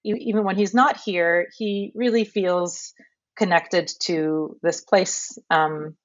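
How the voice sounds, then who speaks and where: Low-voiced woman, dry muffled sound. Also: fairly even intonation, slow pace, no room sound. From Canada. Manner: thoughtful, many fillers and restarts. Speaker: podcast narrator and guest. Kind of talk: conversational interview answer.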